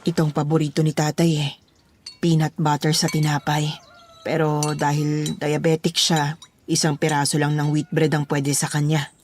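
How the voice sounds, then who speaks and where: A woman's voice: low voice, little pitch movement, moderate pace, darkish tone, slight background noise, lightly acted. A woman playing a stern, secretive lady employer who questions and orders her houseboy in an audio horror drama. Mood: brisk. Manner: bossy, questioning.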